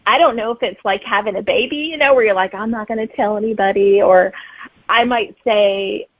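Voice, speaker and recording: A woman's voice: mid-range voice, fairly quick, no echo. Chatty woman on a narrow phone-like line. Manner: chatty, acts out remembered remarks and thoughts. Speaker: guest author. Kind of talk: casual interview chat, personal anecdotes. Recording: narrow, phone-like dry audio.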